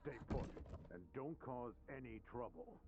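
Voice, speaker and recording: A man's voice: medium pitch, animated, unhurried, close to an everyday voice. Muffled, impatient henchman played by a man. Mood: annoyed. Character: irritable, bullying male henchman in fantasy game. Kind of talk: video game voice acting. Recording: muffled, quiet, noisy game audio.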